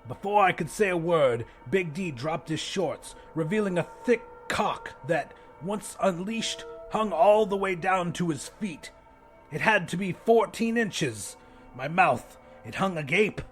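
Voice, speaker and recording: A man's voice: sits high, lively intonation, measured pace, faint music behind. A man reading first-person fiction as a scripted audiobook narration.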